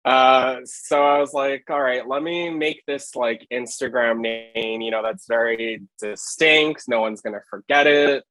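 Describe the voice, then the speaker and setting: A man's voice: medium pitch, measured pace, few pauses, a bit bright, no room sound, rough recording. A man from Hawaii, a street photographer, chatting as a podcast guest, rambling with lots of fillers. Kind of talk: casual podcast chat.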